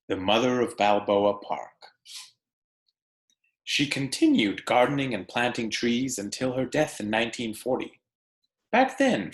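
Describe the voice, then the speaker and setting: A man reads a children's book aloud in the manner of a schoolteacher. His pitch moves up and down in a lively way, and he takes his time, setting phrases apart with emphasis. The room adds an audible echo around his voice. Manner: deliberate, emphatic phrasing with rhetorical questions for young listeners. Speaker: teacher reading a picture book aloud to students. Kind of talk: expressive read-aloud with conversational asides.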